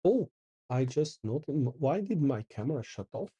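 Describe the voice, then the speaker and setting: A middle-aged man hosts a casual coding session, reading out commands and thinking aloud as he works. His voice is very dark and a little husky, with a lively, rising and falling pitch. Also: normal speed, highs cut off. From Porto, Portugal. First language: Portuguese. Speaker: channel host. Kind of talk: casual live coding commentary.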